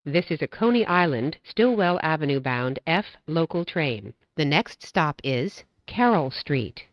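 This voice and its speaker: A woman reading scripted train announcements as a transit announcer, in a measured, formulaic way. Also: low voice, very animated, no room sound, highs cut off.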